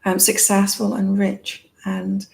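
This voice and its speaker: A woman hosting a live psychic card-reading chat with a calming voice, talking in a rambling, reflective way with many fillers. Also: mid-range voice, slow, creaky. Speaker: psychic reader and live-stream host. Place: in Thailand.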